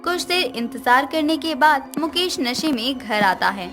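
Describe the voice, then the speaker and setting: High-voiced woman narrating a story. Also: lively intonation, a little slow, a bit bright, faint music behind. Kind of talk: scripted moral-story narration.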